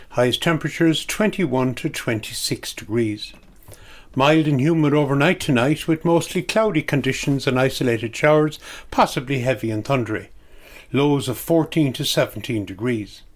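A man with an Irish accent reading a scripted weather forecast for community radio. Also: medium pitch.

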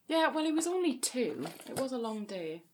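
A woman's voice: sits high, very animated, moderate pace, slight background noise. An actress playing a relentlessly positive mother, in a naturalistic audio comedy drama. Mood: upbeat, placating. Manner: encouraging, placating. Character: relentlessly positive sister, a mother of young boys.

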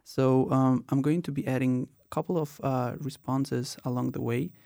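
A man's voice: medium pitch, fairly even intonation, moderate pace, vocal fry, warm tone, no room sound, studio quality. A man in his twenties from Colombia, hosting a student podcast and telling his own story with many "uh" and "um" fillers. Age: 20s. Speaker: podcast host. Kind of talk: casual podcast talk.

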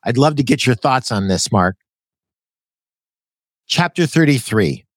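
A man's voice: medium pitch, lively intonation, a little slow, no echo, studio quality. A Canadian man in his sixties hosting an interview podcast, reading from his own book with loose, folksy asides and self-corrections. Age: 60s. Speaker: podcast host.

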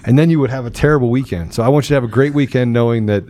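A man's voice: medium pitch, racing, warm tone. A man hosting a casual live talk show, joking and teasing, often repeating himself as he banters.

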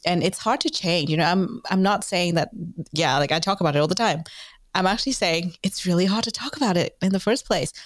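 A woman's voice: fairly low pitch, brisk, no room sound. A woman from Vietnam, hosting an interview and speaking earnestly with restarts. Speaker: podcast host. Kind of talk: conversational interview.

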